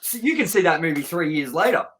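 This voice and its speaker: A middle-aged man with a voice pitched a little high talks as co-host of a review show. He is casual and sweary, and he states his opinions with firm emphasis. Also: expressive, fast, fairly bright tone.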